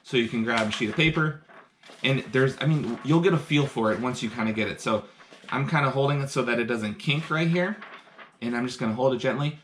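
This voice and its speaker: A man's voice: mid-range voice, brisk, fairly bright tone, a little hiss or hum. A man teaching science in a live-streamed lesson from home, talking chattily and eagerly as he works through hands-on experiments. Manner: enthusiastic, chatty, explains step by step while doing experiments. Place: home, indoors.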